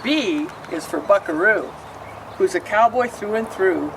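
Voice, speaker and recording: A woman's voice: medium pitch, lively intonation, unhurried, recorded from a distance, background noise. Woman reading a picture book from afar. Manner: sing-song rhyming reading with asides explaining pictures. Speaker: storybook reader.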